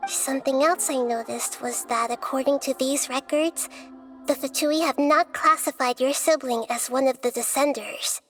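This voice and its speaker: A gentle, wise young goddess. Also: female voice, sits high, faint music behind. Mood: calm, explaining. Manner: calm, measured, explanatory. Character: young-seeming female goddess of wisdom, gentle and thoughtful. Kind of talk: game cutscene voice acting.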